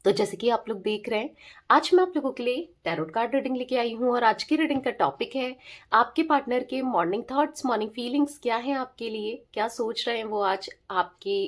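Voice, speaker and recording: Hoarse woman giving a chatty tarot reading. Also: a little high, lively intonation, a little fast. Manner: chatty, keeps checking in with listeners. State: hoarse, sore throat. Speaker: tarot reader. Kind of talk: casual tarot reading to viewers.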